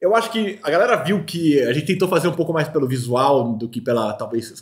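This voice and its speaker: A man's voice: fairly high pitch, fairly quick. A middle-aged Brazilian man hosting a casual food-tasting chat in slangy speech, at a desk microphone in a small studio. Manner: slangy, conversational. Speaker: host. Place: small indoor video studio at a table. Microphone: desk microphone between two people.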